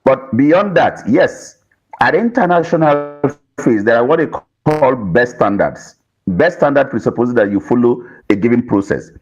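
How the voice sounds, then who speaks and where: A man's voice: mid-range voice, expressive, darkish tone, soft background music. A man giving political commentary as a panel guest, choosing words carefully, on dull lo-fi audio. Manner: measured, careful wording.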